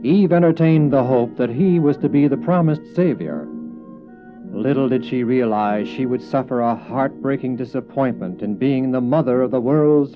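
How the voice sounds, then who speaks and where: Solemn male narrator over music. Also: medium pitch. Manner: solemn, formal. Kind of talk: dramatic scripted narration of a Bible story. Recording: dull old cassette, music underneath.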